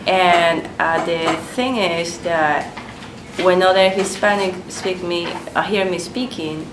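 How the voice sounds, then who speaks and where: A woman from Spain with a Spanish accent, answering interview questions in a halting way as she searches for words. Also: lowish voice, fairly even intonation. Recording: echoey room with background noise.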